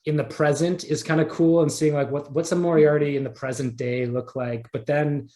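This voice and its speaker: A man's voice: fairly high pitch, fairly even intonation, moderate pace, dark tone, a little hiss or hum. A man speaking as a podcast guest in casual interview chat, enthusiastic and rambling, often starting over and repeating words. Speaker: podcast guest, audio drama writer.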